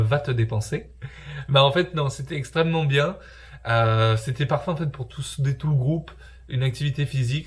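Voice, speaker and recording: A young man narrating his own travel vlog casually, joking around with slang and poking fun at himself. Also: medium pitch. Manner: joking, slangy, self-mocking. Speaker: travel vlogger.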